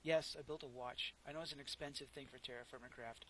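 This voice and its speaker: A soft-spoken man casually narrating his own gameplay, rambling and correcting himself as he goes, close to a desk microphone. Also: medium pitch, steady tone, quick pace, a little hiss or hum, low-quality recording. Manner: rambling, self-correcting, chatty. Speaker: gameplay commentator. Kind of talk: casual let's play commentary.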